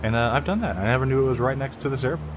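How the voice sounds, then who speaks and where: A man's voice: mid-range voice, rapid-fire. An American man giving casual, easygoing tutorial commentary on a flight simulator, thinking aloud and making pilot radio calls. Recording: steady hum and engine drone. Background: flight simulator engine hum.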